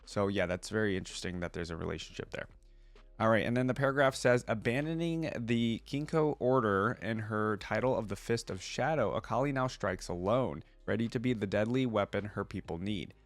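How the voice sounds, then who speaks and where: A man's voice: fairly low pitch, a little fast, no room sound. A man hosting a reaction video, mixing casual commentary with reading aloud and thinking things through as he goes.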